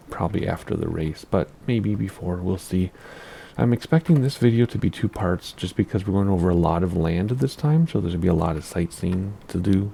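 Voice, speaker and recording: A man with a very low, gravelly voice that sounds scratchy from allergies, talking through a flight sim session. His tone is dark and mellow, and he rambles, gets distracted, and chuckles at his own mistakes. A faint hiss sits under the recording. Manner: rambling, easily distracted, self-deprecating, laughs at himself. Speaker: flight sim gameplay host. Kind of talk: casual gameplay commentary.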